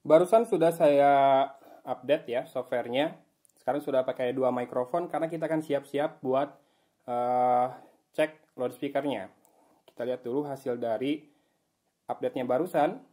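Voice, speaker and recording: A man's voice: medium pitch, moderate pace, warm tone. A man with an Indonesian accent giving a casual, conversational gadget review as the host of a tech video. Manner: conversational, informal.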